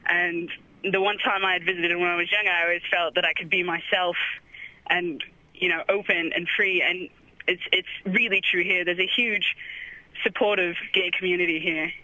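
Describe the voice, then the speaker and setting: A woman from Lebanon calls in to a radio show and speaks hesitantly and softly over the phone. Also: lowish voice, fairly even intonation, normal speed, no echo. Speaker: caller. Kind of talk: radio call-in. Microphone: telephone. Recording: muffled phone line.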